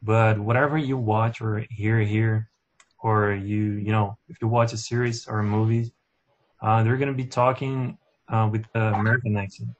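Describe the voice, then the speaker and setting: A man from Brazil speaks English with a Brazilian accent, his talk loose and full of fillers like "you know what I'm saying." His voice sits somewhat low. The recording is dull and lo-fi. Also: little pitch movement, normal speed. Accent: Brazilian Portuguese. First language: Portuguese. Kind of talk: casual discussion.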